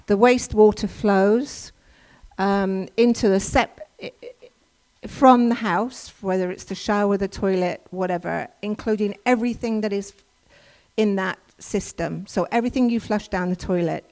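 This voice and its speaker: A woman's voice: medium pitch, darkish tone. English woman, workshop presenter, chatty and explanatory, lots of restarts. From England. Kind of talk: informal church workshop talk with slides. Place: church hall.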